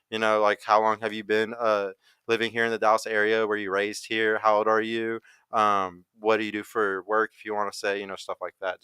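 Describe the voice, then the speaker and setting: A man's voice: lowish voice, a bit bright, clear, resonant tone, no room sound. A man hosting a live podcast from a studio, hyped and rattling off plugs. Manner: hyped, plug-heavy delivery. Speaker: podcast host. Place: studio in Dallas.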